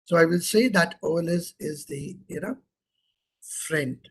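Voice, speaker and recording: A middle-aged man with an Indian English accent teaches an online class over a computer mic. His voice sits fairly high and a little husky, and he keeps quizzing students and repeating key terms. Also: a little slow. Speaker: professor lecturing online. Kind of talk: interactive online lecture.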